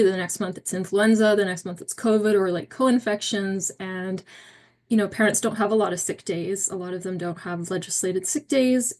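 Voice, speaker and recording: A woman's voice: mid-range voice, darkish tone. A middle-aged woman giving an informal, earnest and empathetic talk as an advocate. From Canada. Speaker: long COVID advocate. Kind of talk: informal unscripted advocacy speech.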